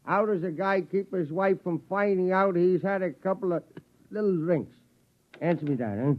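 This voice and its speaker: Tipsy henpecked husband, voiced by an actor, pleading, mock-grand, high voice, lo-fi broadcast. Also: male voice, moderate pace, a little hiss or hum, lightly acted. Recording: lo-fi 1950s radio drama.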